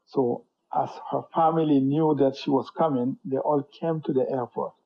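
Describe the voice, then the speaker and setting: A middle-aged man from Cameroon speaks with a husky, slightly breathy voice, telling his story in a measured, unhurried way and leaning on "you know" as he goes. He sounds like an academic guest on an interview show. The recording is dull and lo-fi. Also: mid-range voice, steady tone. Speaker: guest, professor.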